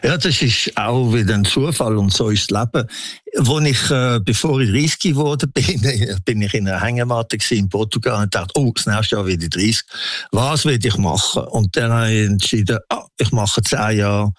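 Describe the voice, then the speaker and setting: A man in his sixties with a Swiss German accent, telling his life story as an interview guest in a halting, anecdotal way. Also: lowish voice, no echo, clean studio sound. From Switzerland. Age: 60s.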